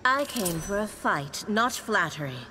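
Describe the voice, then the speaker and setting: An actress playing a stern, loyal warrior bodyguard with a firm, guarded, defiant manner in a video game. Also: female voice, mid-range voice, expressive, a little slow, sharp tone, slight background noise. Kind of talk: video game fighter intro dialogue. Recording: long cavernous reverb, music behind.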